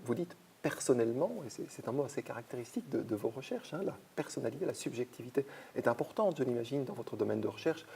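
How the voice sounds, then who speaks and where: A man's voice: mid-range voice, quick pace, creaky, few pauses, dark tone, breathy edge. A man hosting an interview, asking questions attentively and affirming often. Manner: attentive, frequent backchannel affirmations. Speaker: interviewer host. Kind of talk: institutional interview.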